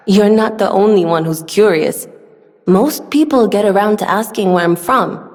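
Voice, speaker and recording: Woman voicing a gentle, wistful young woman in reverb. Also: mid-range voice, measured pace, close to an everyday voice. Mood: reflective, composed. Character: gentle young woman weapons smith, wistful, earnest, polite. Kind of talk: video game voice acting. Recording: long hall reverb.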